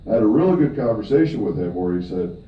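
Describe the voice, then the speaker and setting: A man's voice: lowish voice, animated, quick pace. Middle-aged man lecturing from afar. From Michigan, USA. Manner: keeps checking in with the room with "okay?"; emphatic, with upward swings on key points. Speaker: presenter at a company event. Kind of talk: live seminar talk to an audience. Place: room where a talk is given to an audience. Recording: distant, echoey, dull audio with faint noise.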